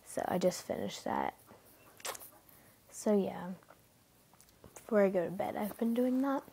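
A teenage girl talks to her camera from her bed late at night, stuffy from a cold. Her voice is mid-pitched and gravelly with fry. She rambles freely, stringing thoughts together with fillers and sometimes lowering to a near whisper. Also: measured pace, slight background noise. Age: teens. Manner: rambling, filler-heavy, often hushed. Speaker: vlogger. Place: bedroom at night, sitting on bed.

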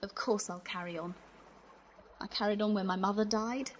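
A woman's voice: medium pitch, fairly even intonation, moderate pace, highs cut off, slight background noise, natural voice. A woman playing a strained, exasperated mother in an audio drama, blunt and emotional. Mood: weary.

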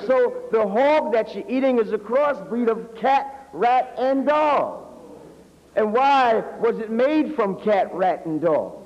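A man's voice: very high voice, animated, a little mellow. Reverberant hall, dull old recording, American male minister, emphatic sermon, rhetorical questions. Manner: emphatic oratory with drawn-out words and rhetorical questions. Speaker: minister preaching at a mosque. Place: indoor hall, speaking at a lectern. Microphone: two podium microphones.

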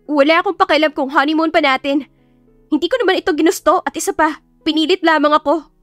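A woman's voice: high voice, fairly even intonation, fast, a bit bright, dry recording, voice pushed up. A woman voicing a naive, feisty young bride in an audiobook, stammering and exclaiming shrilly. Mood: indignant, flustered. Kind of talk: audiobook character acting. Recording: music behind the voice.

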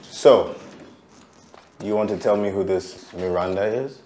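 A man's voice: lowish voice, unhurried, dark tone, natural voice. An actor playing a jealous, accusing lover and aggrieved father in a screen drama, questioning in a confrontational way. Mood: suspicious, cold. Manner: confrontational, interrogating. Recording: roomy echo, faint background noise.

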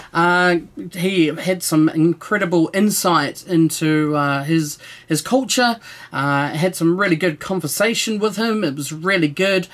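High-voiced New man, casual vlog. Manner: relaxed, friendly, many "uh" fillers. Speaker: channel host. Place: room with collectible shelves, indoors. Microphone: desk camera, seated facing it.

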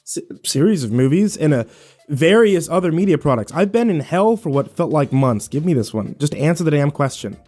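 A man playing a weary, sarcastic survivor, mellow voice. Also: on the high side, expressive, a little fast, faint music behind. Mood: deadpan, exasperated. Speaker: actor and narrator. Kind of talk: first-person audio drama narration and dialogue.

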